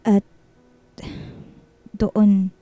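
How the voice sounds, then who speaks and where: A woman's voice: medium pitch, little pitch movement, extremely slow, warm tone, no echo, soft background music. A woman playing a bossy, blunt, gossipy young friend with a Filipino accent in a radio drama.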